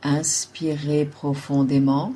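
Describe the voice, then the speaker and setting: Female yoga nidra guide. Low, soft-edged husky voice, unhurried calm delivery of measured meditation instructions. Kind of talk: slow guided relaxation meditation.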